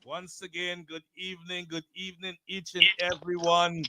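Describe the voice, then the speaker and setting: A man's voice: high voice, monotone, normal speed, noisy background. A man from Guyana, a pastor hosting a live talk show, welcoming listeners in a preacher-like, repeating style.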